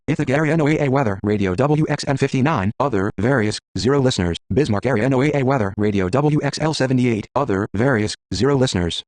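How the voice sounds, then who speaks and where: A man's voice: mid-range voice, darkish tone, no room sound. A synthetic male text-to-speech voice working as a screen reader, reading out list entries in a mechanical, clipped, automated way. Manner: mechanical, clipped, reads out letters and numbers evenly. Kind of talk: flat automated list readout.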